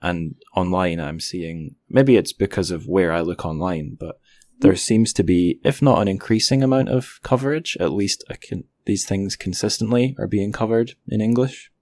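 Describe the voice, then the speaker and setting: A man with a low-pitched voice hosts a casual interview. He talks thoughtfully, feeling his way hesitantly toward each point. The sound is dry, with very little room in it. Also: moderate pace, a little mellow, dry recording. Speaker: podcast host interviewer.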